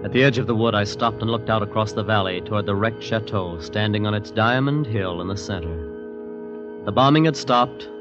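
A man's voice: fairly low pitch, normal speed, music in the background, natural voice. An actor playing a wary young man who narrates and lives through his own peril in a suspenseful old radio drama. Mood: tense narration. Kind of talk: 1940s radio drama acting, suspenseful first-person narration. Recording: dull vintage radio recording.

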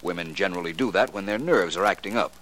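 A man's voice: low voice, fast, a bit bright, slight background noise, poor audio. An actor playing a polished, wry male detective in a 1940s radio drama. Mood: urbane. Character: suave, urbane male detective.

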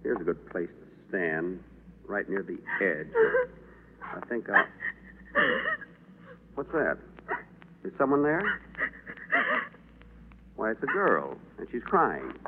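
A man's voice: low-pitched, big pitch swings, takes it slowly, pauses often, phone-quality sound, exaggerated voice. An actor playing a mild, bumbling male angel with dry, politely smug wit in a 1940s radio play. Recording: scratchy old radio broadcast.

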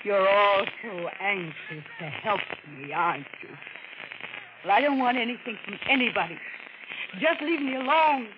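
An actress playing a troubled, frightened and defiant woman in a melodramatic, halting 1940s radio thriller style. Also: mid-range voice, expressive, close to an everyday voice. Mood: angry, desperate. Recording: scratchy, tinny old radio recording, heavy noise.

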